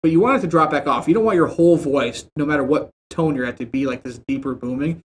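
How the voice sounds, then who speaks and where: A young man in a home streaming room who explains audio settings in a relaxed, rambling way. He uses lots of fillers, and his desk mic sits about a foot and a half from him. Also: a little high, fast talker, slight background noise. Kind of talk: casual screen-share tutorial. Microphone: desk mic about a foot and a half away, headset on.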